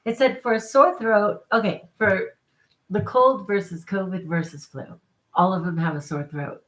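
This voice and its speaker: A woman's voice: medium pitch, moderate pace, a bit bright. A congested, middle-aged woman with a cold, chatting casually and upbeat on a live stream, laughing between remarks. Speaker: vlogger.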